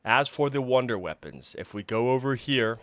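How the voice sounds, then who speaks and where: A man talking casually through game menus as he thinks aloud, still recovering from an illness and sounding a little rough. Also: mid-range voice, lively intonation, normal speed, fairly bright tone, dry recording. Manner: chatty, thinking aloud while browsing menus. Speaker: gaming YouTuber. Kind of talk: casual gameplay commentary.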